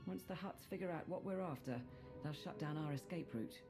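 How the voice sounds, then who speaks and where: An actress playing a focused, professional military agent delivering a mission briefing in a video game. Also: female voice, low voice, a little fast, over music. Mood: focused, businesslike. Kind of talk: cinematic video game dialogue.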